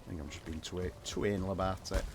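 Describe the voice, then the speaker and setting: A man with a very low, croaky voice rambles casually through a game stream while he builds, a bit self-deprecating and chatty. Steady hiss and room noise sit under his voice. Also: fast talker. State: croaky from a cold.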